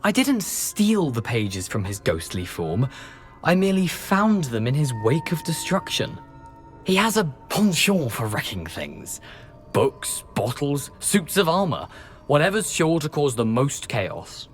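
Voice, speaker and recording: A man's voice: a little high, very animated, unhurried, faint music behind. An actor playing a cheeky, wary young male ghost in a video game, with a wry, wordy, theatrical manner. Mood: wry, breezy. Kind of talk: video game character acting.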